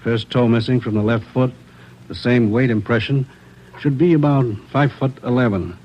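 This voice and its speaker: A man acting a brisk, factual American police crime-lab investigator in a 1940s radio drama. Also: medium pitch, lightly acted. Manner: clipped, matter-of-fact. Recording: muffled old radio, faint noise.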